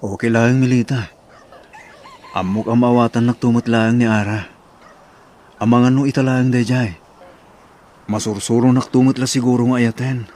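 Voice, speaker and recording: A man's voice: medium pitch, level tone, moderate pace, long pauses, a little mellow, a little hiss or hum, lightly acted. A man playing a good-natured, lovestruck suitor in an Ilocano radio drama, speaking with an Ilocano accent. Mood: patient, hopeful.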